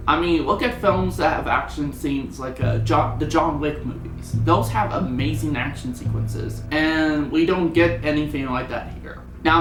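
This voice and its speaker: A person giving a casual, opinionated film review in a rambling, conversational way. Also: medium pitch. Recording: roomy echo, music underneath.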